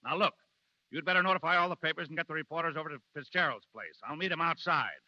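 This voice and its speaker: A man's voice: fairly high pitch, quick pace, bright, forceful tone, dry recording. An actor playing a brash, scheming publicity man with an emphatic, businesslike bark in a 1930s radio drama. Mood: brisk. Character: brash, fast-scheming male publicity agent. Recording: dull lo-fi 1930s radio recording.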